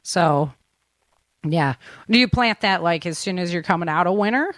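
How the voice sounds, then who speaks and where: Chatty American woman, lower-pitched, dry sound. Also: animated, moderate pace, dry recording. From United States. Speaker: podcast host. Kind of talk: casual interview chat.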